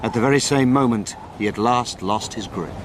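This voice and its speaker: A man playing a detective's loyal friend, narrating a period radio drama in a measured, grave storytelling manner. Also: lightly acted. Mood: grave, dramatic. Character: detective's loyal male friend, recounting the adventure. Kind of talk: scripted first-person drama narration. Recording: clear background noise, rumbling ambience.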